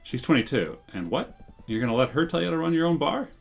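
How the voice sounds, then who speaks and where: A man's voice: fairly high pitch, sing-song, fast, creaky, voice pushed up, full character voice. A man reading the lines of a cynical, crude middle-aged man in a game, sarcastic and dismissive, faint music behind. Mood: scornful.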